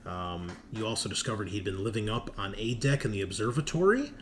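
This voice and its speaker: A man working as game master, recapping a tabletop roleplaying session in a halting, informal way. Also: fairly low pitch, noisy background.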